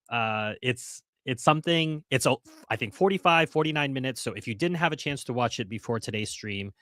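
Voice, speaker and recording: Host, male, mid-range pitch, earnest livestream chat with wry asides, dry close mic. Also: fairly bright tone, no echo. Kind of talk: casual livestream commentary, reading chat.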